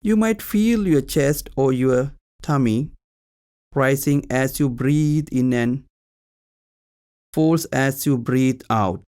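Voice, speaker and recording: A man leading a guided meditation as a teacher, speaking in short, calm instructional phrases with long pauses. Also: mid-range voice, smooth.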